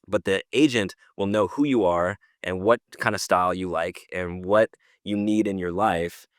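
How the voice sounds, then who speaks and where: Male podcast co-host, low voice, close dry sound, keen and explanatory, conversational delivery. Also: dry recording. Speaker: podcast co-host presenting news. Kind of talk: casual news discussion.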